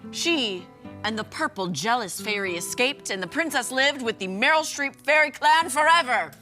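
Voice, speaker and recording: A woman's voice: fairly high pitch, expressive, moderate pace, pushed and bright. A woman co-hosting a TV show, chatting casually and reading a storybook tale aloud, with music playing behind. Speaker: TV show co-host.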